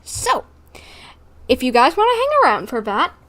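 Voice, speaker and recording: A woman's voice: sits high, big pitch swings, normal speed, fairly bright tone. A woman livestreaming a game, chatting casually with viewers in a rambling, giggly manner as she plays. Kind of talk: casual livestream chat while playing, replying to viewers.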